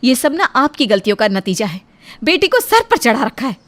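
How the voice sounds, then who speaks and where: A woman's voice: fairly high pitch, very animated, normal speed, fairly bright tone. A woman voicing a short-tempered, threatening young daughter-in-law in a cartoon moral story, scolding with sarcasm and grievance. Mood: accusing.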